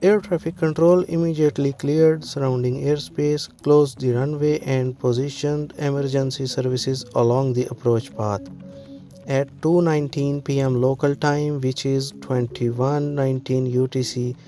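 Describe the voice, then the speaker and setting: Male narrator for scripted aviation-style documentary, mid pitch, clear music bed underneath. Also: steady tone, unhurried, warm tone.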